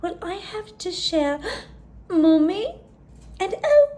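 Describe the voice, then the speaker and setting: A woman's voice: very high-pitched, sing-song, slow pace, warm tone, voice pushed up, full character voice. A woman voicing a little girl yak in a children's storybook, an earnest, eager and anxious big-sister-to-be who speaks expressively. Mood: worried.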